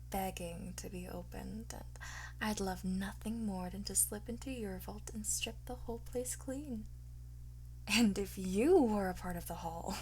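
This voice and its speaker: A woman playing a flirtatious, boastful master thief in an ASMR roleplay, soft and often whispering, theatrical and smug. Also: medium pitch, slight background noise. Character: teasing, boastful female master thief under interrogation.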